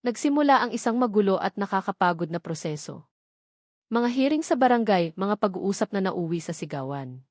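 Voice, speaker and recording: A woman's voice: mid-range voice, sing-song, fairly quick, a little mellow, no echo. A woman narrating a dramatic audio love story in an earnest storytelling way, close to the mic.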